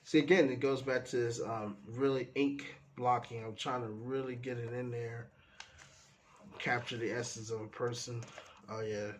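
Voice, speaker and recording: A man, stuffy from a cold, casually talking through the pages of his sketchbook in a relaxed, meandering way. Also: medium pitch, moderate pace, a little hiss or hum. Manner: relaxed, meandering, trails off often. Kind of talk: casual rambling commentary.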